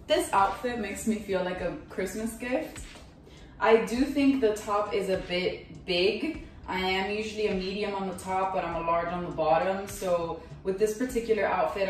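A young woman giving a chatty, matter-of-fact review of an outfit she is trying on. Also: medium pitch. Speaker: lingerie reviewer. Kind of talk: casual try-on review. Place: small bedroom indoors. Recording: very echoey room, faint music.

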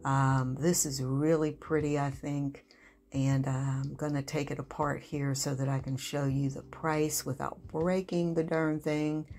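Very low-voiced gravelly woman vlogger. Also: measured pace, a little hiss or hum. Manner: relaxed, chatty, many ums and uhs. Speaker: home decor vlogger. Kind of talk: shop-with-me voice-over.

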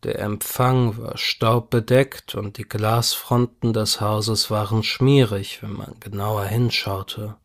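A man's voice: fairly low pitch, level tone, slow, hardly any pauses. A man reading a first-person horror story as a scripted audiobook narration.

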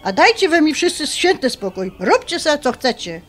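An actress playing a bossy, pious middle-aged mother with a Polish highlander accent and a blunt, scolding manner in a radio drama. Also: very animated, normal speed. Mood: indignant. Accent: Polish highlander (Podhale).

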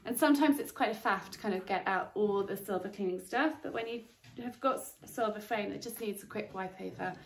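A woman's voice: mid-range voice, a little fast, few pauses. A woman in her forties chatting through a cleaning demonstration at home, using lots of filler words while she works. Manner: friendly how-to chat with filler words, working as she talks. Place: sunlit garden room in a home. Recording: echoey room with faint noise.

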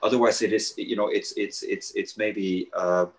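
A man, a guest architect speaking haltingly over a video call from a living room. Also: fairly low pitch, steady tone. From Switzerland. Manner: halting, many hesitations and restarts. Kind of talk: panel conversation.

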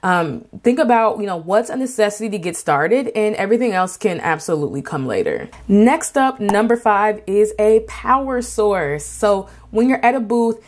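A woman's voice: medium pitch, lively intonation, normal speed, few pauses. A woman who is an artist, talking casually in a vlog with many fillers and restarts, giving emphatic advice. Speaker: artist and craft vendor.

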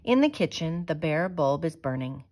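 Low-pitched woman reading a storybook aloud. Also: sing-song, normal speed, a little mellow. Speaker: teacher reading a picture book aloud.

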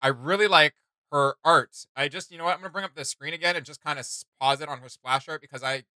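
A young man chatting casually as a reaction video co-host, enthusiastic and animated, with slangy phrasing. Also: on the high side, fast talker, dry recording, rough recording. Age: young adult. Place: small indoor room with gaming setup.

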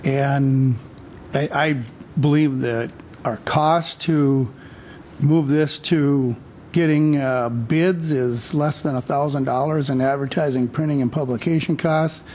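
Man, city councilmember, mid-pitched voice, measured and hesitant, faint background hiss. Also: steady tone, a little mellow. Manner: measured, hesitant with frequent fillers. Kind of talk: council meeting remarks.

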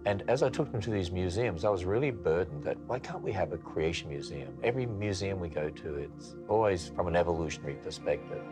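A man's voice: sits low, expressive, fairly quick, few pauses, music in the background. An older man from Australia recalling his start as a science teacher in a documentary clip, plainspoken and reflective. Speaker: ministry founder. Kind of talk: recollection in a documentary video.

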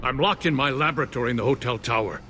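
A man's voice: medium pitch, fast talker, sharp tone, a little hiss or hum, natural voice. A man playing an elderly scientist and father in a video game, speaking earnestly and urgently. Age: older. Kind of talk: video game cutscene acting.

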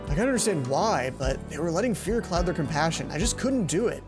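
A man's voice: a little high, lively intonation, brisk. A young man streaming a visual novel, reading the story aloud on a headset mic with playful, stumbling asides. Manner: playful, self-mocking, stumbles over words. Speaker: let's play streamer. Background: game music.

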